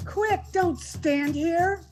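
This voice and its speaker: A woman's voice: extremely high pitch, drawn-out words, a little mellow, faint music behind, heavily acted. A woman playing a frightened, self-excusing accomplice, urgent and pleading, in a melodramatic old-time radio horror play. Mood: frantic.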